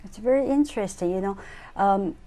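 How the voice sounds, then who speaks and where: A woman interviewing a guest in halting, non-native phrasing with short prompts. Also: mid-range voice, dark tone. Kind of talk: casual interview.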